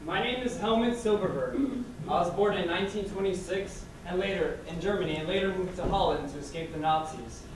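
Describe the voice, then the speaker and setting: A man acting on stage as a survivor, reciting his lines in a measured way. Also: high voice, close to an everyday voice. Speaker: stage actor playing a survivor. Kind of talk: staged theatrical narration. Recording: echoey hall from far off, with hiss.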